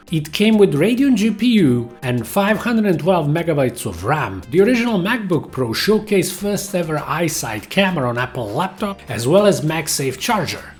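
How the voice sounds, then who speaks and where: A man hosting a tech channel, narrating a scripted history of laptops in English with a non-native accent. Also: fairly high pitch.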